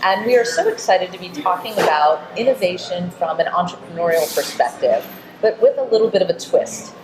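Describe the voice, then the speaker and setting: A woman's voice: on the low side, expressive, fairly quick, background noise. A middle-aged woman hosting a conversational interview. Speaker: show host and interviewer. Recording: roomy echo, busy crowd chatter. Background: crowd murmur.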